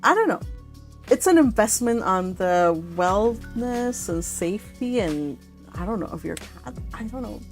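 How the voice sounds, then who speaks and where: A woman's voice: mid-range voice, animated, measured pace, faint music behind. A woman hosting a read-along stream, chatting casually and rambling with frequent laughter. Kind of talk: casual chat with participants.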